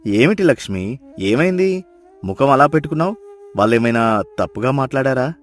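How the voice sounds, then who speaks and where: A man's voice: medium pitch, expressive, fairly quick, lightly acted. A man playing a well-off, emotional village brother-in-law in a dubbed moral-story cartoon, with earnest, emphatic delivery. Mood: concerned, surprised. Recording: music behind the voice.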